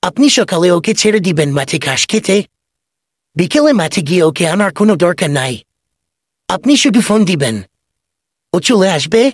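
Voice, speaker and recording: A man's voice: high voice, expressive, normal speed, pauses often, breathy edge, no echo, studio quality. A man voicing a sly, glib goat thief and swindler in a comedy cartoon. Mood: glib, persuasive. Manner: glib, wheedling salesman patter.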